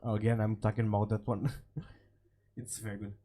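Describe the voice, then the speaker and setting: A man giving casual, unscripted game commentary, halting and repeating short phrases, his voice slightly hoarse from a cold. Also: lowish voice, level tone, dark tone. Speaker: gaming video creator.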